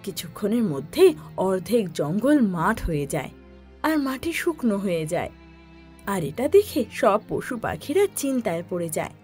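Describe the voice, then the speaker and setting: Expressive woman narrating a children's story. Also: mid-range voice, unhurried, soft background music.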